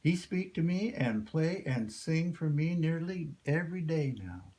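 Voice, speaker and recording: A man's voice: on the high side, animated, a little slow, dark tone, pitched above the performer's own voice. A man voicing an earnest, eager enslaved man in a folktale, speaking in folksy dialect. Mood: eager, insistent. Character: earnest enslaved young man, dreamer, pleading his case.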